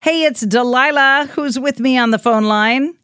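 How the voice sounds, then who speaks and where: A woman hosting a call-in radio show, chatting gently and playfully with a caller. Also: high voice, moderate pace, clean tone, no room sound, clean studio sound. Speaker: radio host. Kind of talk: late-night radio call-in chat. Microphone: studio mic.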